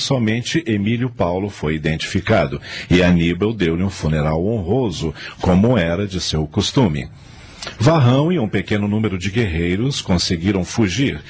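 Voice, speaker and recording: Deep voiced guy narrating a radio play, formal storyteller type. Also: darkish tone. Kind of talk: scripted radio drama narration.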